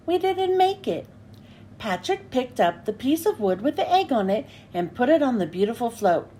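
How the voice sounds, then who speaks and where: A woman reading a picture book aloud to children with an expressive, animated delivery. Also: a little high, normal speed, slight background noise.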